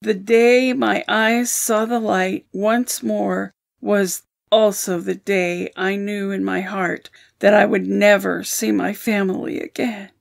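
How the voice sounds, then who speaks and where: A woman's voice: medium pitch, little pitch movement, slow, vocal fry, hardly any pauses. A woman playing a solemn, grieving and protective old woman in an audio drama. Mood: sorrowful.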